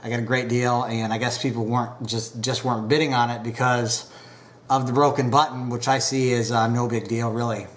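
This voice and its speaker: Unhurried man with a stuffy nose. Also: mid-range voice, little pitch movement, a little hiss or hum. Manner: unhurried, many filler pauses. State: stuffed up from a cold. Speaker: pipe tobacco reviewer. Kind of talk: casual review to camera.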